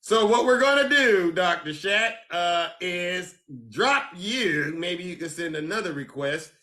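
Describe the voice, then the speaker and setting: A man hosting a live call-in show, theatrical, with a booming announcer style. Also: expressive, a little slow, bright, forceful tone. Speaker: show host.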